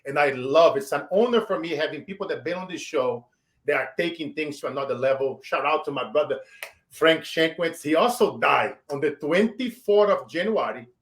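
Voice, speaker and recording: A man in his forties with a Brazilian accent, hosting a show and giving emphatic motivational shout-outs. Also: a little high, animated, brisk. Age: 40s. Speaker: show host. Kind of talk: motivational interview wrap-up.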